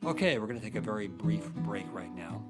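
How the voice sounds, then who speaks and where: A man playing a curious podcast host who probes haltingly in a conversational interview. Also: fairly low pitch, expressive, over loud music, lightly acted.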